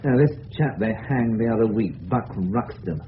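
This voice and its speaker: A middle-aged English father played by a man, old-fashioned and chummy. He airs his opinions with lively intonation. The radio-play recording is thin and faintly noisy. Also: lowish voice, moderate pace, narrow, tinny audio, close to an everyday voice. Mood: chummy, rueful. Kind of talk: radio drama acting.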